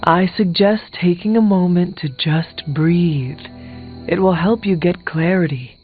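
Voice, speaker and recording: An actor playing a solemn young warrior hero in a video game, speaking in a measured, formal and earnest way. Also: male voice, high voice. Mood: calm consolation. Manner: measured, formal, avoids contractions. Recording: thin phone-like game audio, music behind.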